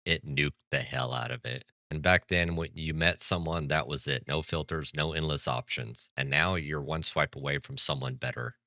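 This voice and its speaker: A man's voice: a bass voice, fairly even intonation, moderate pace, hardly any pauses, sharp tone, dry recording. A man hosting a reaction commentary, blunt and lecturing with a sarcastic edge. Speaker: commentary channel host.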